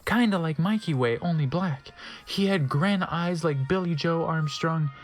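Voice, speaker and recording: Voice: medium pitch, a little slow, faint music behind. A narrator giving a theatrical, tongue-in-cheek comic reading of fan fiction. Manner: theatrical, with mocking asides.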